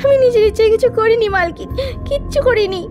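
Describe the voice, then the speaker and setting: An actress plays a frightened housemaid in a horror cartoon. Her voice is very high and pleading, repeating phrases anxiously over clear music. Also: quick pace. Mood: begging. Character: frightened, pleading maidservant coerced into a crime. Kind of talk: Bengali horror cartoon acting.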